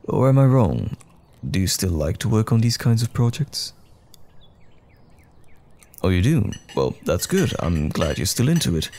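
Low, swooping, tender boyfriend played by a man. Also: big pitch swings, measured pace, long pauses, a little hiss or hum. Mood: encouraging. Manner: gentle, soothing, affectionate. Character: loving, caring, gently teasing boyfriend. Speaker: audio roleplay performer. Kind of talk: intimate boyfriend comfort audio roleplay.

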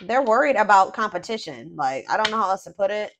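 Woman, tarot reader, casual rambling, fillers, slightly hoarse, faint background hiss. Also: medium pitch, expressive, quick pace, a bit bright. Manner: thinking aloud, many fillers and restarts. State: voice going hoarse. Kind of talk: casual tarot reading narration.

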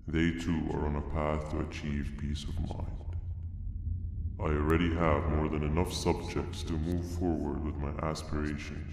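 Man voicing a gravelly, very deep monster. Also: slight background noise, full character voice. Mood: cold menace. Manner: slow-building, grave, eloquent monologue. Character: calm, philosophical, menacing jellyfish monster. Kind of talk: scripted video game acting. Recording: echoey hall reverb, loud music behind.

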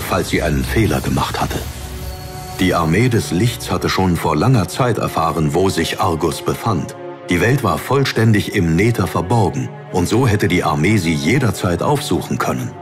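A man reads scripted fantasy narration in a lowish voice. His storytelling is serious and measured, and clear music plays behind him throughout. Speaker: audiobook narrator.

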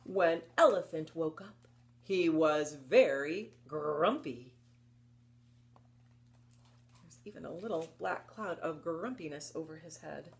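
A woman in her fifties presenting story time for young children, expressive and encouraging, often putting questions to her listeners. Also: low-pitched. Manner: expressive, encouraging, asks listeners questions, slow deliberate delivery. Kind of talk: children's story time with rhymes and picture book reading. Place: indoors, small book-lined room at home.

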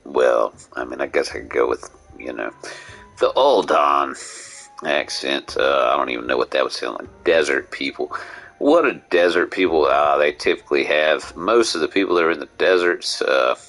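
A man with a gravelly voice streaming a game, musing aloud in a halting way and reading the text. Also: rumbling low, fairly bright tone, faint music behind. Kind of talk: casual live game commentary and voicing characters.

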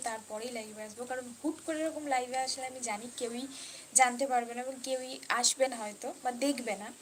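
A woman's voice: a little high, a little hiss or hum. A woman chatting casually and unscripted as a live stream host, repeating herself and mixing in English words. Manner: repetitive, mixes in English words. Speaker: live stream host reading and answering viewer comments.

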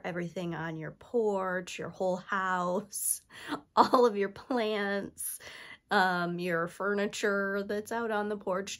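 A woman in her thirties, stuffy from allergies, chatting eagerly to camera about thrift finds with lots of ums. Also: mid-range voice. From New York State. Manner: chatty, enthusiastic, many ums. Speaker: thrift haul vlogger. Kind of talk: casual chat to camera. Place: indoor room with toy shelves.

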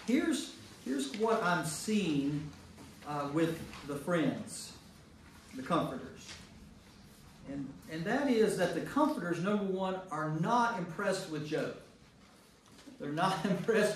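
A man leading a Bible study for a group, teaching emphatically and repeating phrases for emphasis. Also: a little high. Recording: very echoey room, distant mic, faint noise.

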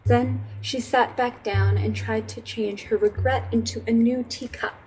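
A young woman reads a picture book aloud in a fairly high voice. Her delivery is gentle and unhurried, with careful storybook phrasing. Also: steady tone, a little hiss or hum, low-quality recording. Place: small indoor room with fabric backdrop.

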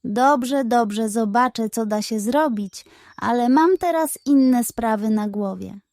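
A woman voicing a sceptical, unflappable male pig detective in a children's bedtime story, reasoning calmly. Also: fairly high pitch, slow pace, no echo, clean studio sound. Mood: reassuring. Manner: dismissive, calmly reasoning, muttering.

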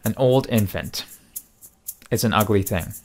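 A man in his thirties talking frankly and self-mockingly to camera in a small room at night, into a mic on a boom. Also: expressive, measured pace, darkish tone. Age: 30s. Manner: frank, self-deprecating, conversational. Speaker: vlogger. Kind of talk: candid confessional monologue. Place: dim small bedroom at night. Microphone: studio mic on boom in front.

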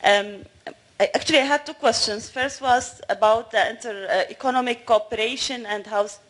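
A young woman with a Syrian Arabic accent, politely and a little hesitantly putting questions to a panel. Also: medium pitch. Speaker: audience questioner, doctoral student. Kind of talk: asking questions at a panel discussion. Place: audience of a large hall.

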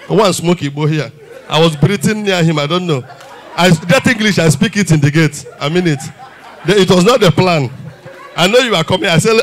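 A Nigerian man in his thirties doing a stand-up comedy set on a theater stage with a handheld mic, animated and full of rhetorical questions. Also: high voice. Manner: animated, mixes Pidgin and English, rhetorical questions. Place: large theater stage with audience.

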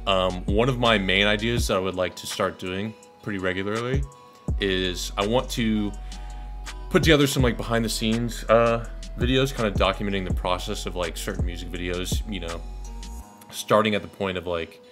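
A young man from Dallas, Texas, a videographer, talking casually to camera in a monotone, rambling way with lots of ums. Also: on the low side, moderate pace, music in the background. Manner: laid-back, rambling with many ums. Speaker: videographer and channel host. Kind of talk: casual thank-you vlog to camera. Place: small pink-lit bedroom, indoors.

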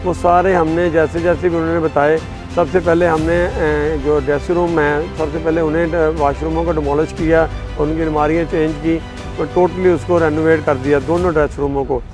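A man's voice: a little high, little pitch movement, normal speed. A middle-aged man with a Pakistani accent explains things informally to camera as an interviewed stadium caretaker, miked at the lapel. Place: outdoors on a cricket stadium field. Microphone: lapel mic. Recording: music behind the voice.

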